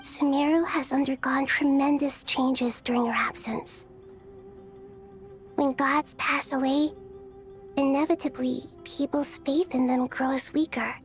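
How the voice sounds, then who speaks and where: A childlike video game deity. The voice is high, soft and youthful, yet the delivery is wise, formal and measured. Quiet music runs underneath. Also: female voice, steady tone. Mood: calm, earnest. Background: background music.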